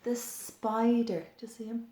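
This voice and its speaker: A woman's voice: on the high side, fairly even intonation, a little slow, dark tone, echoey room, slight background noise. A woman reading a picture book aloud to young children, often prompting them with simple questions. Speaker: storyteller for children.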